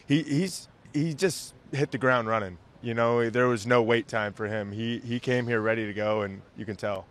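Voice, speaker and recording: A man's voice: medium pitch, normal speed, few pauses. A young man from Nebraska, a college football player, giving short, plain interview answers. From Lincoln, Nebraska. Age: young adult.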